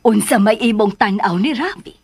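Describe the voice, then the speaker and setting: A woman acting in a Cebuano radio drama from the Philippines as a jealous, scornful schemer, husky, emotional and pushy. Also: medium pitch, moderate pace, darkish tone. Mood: worried, confiding. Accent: Filipino, Cebuano.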